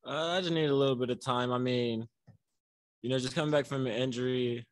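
A soft-spoken young man answers reporters at a postgame press table, relaxed and easygoing, with lots of "you know" and "like". His voice sits in a middle pitch. The sound is dry and close on a table mic. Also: brisk, no echo. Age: young adult. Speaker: college basketball player. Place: press room before a banner. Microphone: table mic on a stand.

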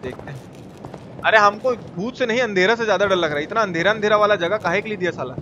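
Male game streamer, sharp high voice, casual and sweary, addressing chat. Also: fast, highs cut off. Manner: informal, foul-mouthed, talking to viewers. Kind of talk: live gameplay commentary with chat shout-outs. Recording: clear background noise and game ambience. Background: video game ambience.

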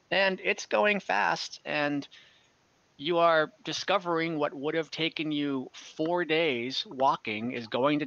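A young man narrates a tabletop game as its game master, his voice bright and forward. He rambles casually with plenty of fillers. The sound is dry and a little dull, like a headset on a video call. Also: mid-range voice, dry recording. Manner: relaxed, rambling, frequent fillers and laughs. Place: home room on a video call.

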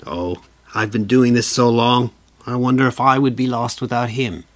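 An actor playing a loyal, long-suffering personal assistant in an audio comedy, mild and dryly understated. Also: male voice, medium pitch, fairly even intonation, moderate pace, close to an everyday voice. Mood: patient.

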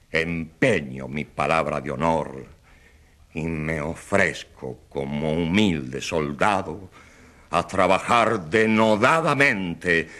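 A man's voice: deep voice, a bit bright. A man playing a proud provincial governor in a radio drama, speaking with solemn, grandiloquent oratory. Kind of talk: radio drama acting, political speech.

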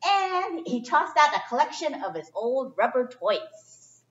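A middle-aged woman reads a picture book to children in a high, very lively voice. She is expressive and chatty, dropping in informal asides with simple phrasing. The sound is distant and echoey, picked up by a camera mic across the room. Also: measured pace, lo-fi sound. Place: indoor library children's area. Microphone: distant camera microphone.

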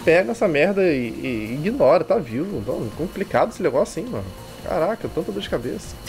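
A Brazilian man with a very animated delivery reads game text aloud and comments on it. His manner is irreverent and slangy, like a casual streamer, with music playing behind him. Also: fairly high pitch, fairly quick. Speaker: streamer playing and dubbing a visual novel.

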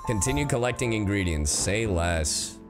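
A man's voice: on the low side, expressive, moderate pace. A man giving casual livestream commentary on a game, playful, irreverent and sweary, with music behind him. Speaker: game streamer.

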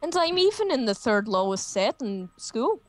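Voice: medium pitch, sing-song, normal speed, dry recording, natural voice. A young-sounding performer playing a naive, oddball prank caller, silly and mock-innocent with abrupt turns in an improvised call. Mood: silly, boastful. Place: at a computer on a group video call.

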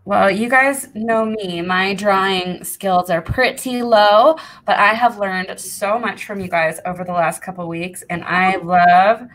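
A woman's voice: medium pitch, fairly even intonation, unhurried, few pauses, a bit bright, a little hiss or hum, poor audio. Upbeat woman hosting a live show. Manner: upbeat, encouraging, addresses viewers at home. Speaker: show host.

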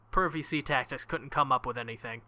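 Bright, forceful man reading a game aloud. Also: mid-range voice, moderate pace. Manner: jokey, exclamatory asides. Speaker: let's-play host. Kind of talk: visual novel read-aloud with joking asides.

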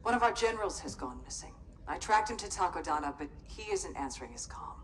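Low-voiced, authoritative woman rebel leader. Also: lively intonation, fairly bright tone, a little hiss or hum. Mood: businesslike. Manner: measured, authoritative. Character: poised, commanding female rebel leader and senator. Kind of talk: cinematic video game acting.

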